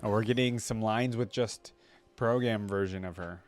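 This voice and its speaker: A man's voice: fairly low pitch, normal speed. Enthusiastic man doing casual reactions. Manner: enthusiastic, easily amused. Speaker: reaction video host.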